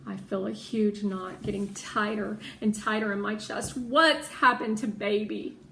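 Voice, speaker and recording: A woman reading a children's story aloud with expressive narration. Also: mid-range voice, a little slow. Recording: roomy echo with clear background noise.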